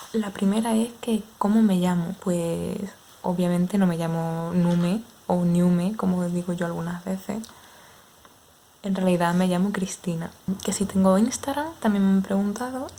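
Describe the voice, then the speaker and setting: A young woman in her twenties with an Andalusian accent, answering viewers' questions in a relaxed, hesitant way with many fillers. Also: mid-range voice. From Andalusia, Spain. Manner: unhurried, hesitant with frequent fillers. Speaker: ASMR creator. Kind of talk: casual Q&A chat with viewers.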